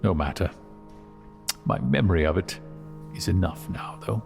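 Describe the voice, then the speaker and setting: A man playing a wise old male dragon mentor in an audio drama, speaking in a measured, fond, halting way. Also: low voice, animated, gravelly, darkish tone, soft background music. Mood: fondly nostalgic.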